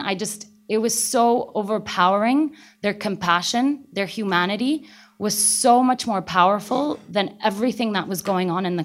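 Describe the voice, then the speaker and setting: A woman with a Syrian accent, a native Arabic speaker, telling an emotional personal story at a panel in an earnest way, with some fillers. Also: mid-range voice. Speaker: panel speaker from an aid organization. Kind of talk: personal account in a panel talk.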